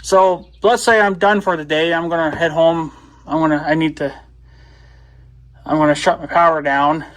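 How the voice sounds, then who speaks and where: Male vlogger, slightly higher-pitched voice, casual hands-on demo, thinking out loud. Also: fairly even intonation, moderate pace, poor audio. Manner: rambling, thinking aloud. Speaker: video blogger. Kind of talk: casual hands-on gadget demonstration.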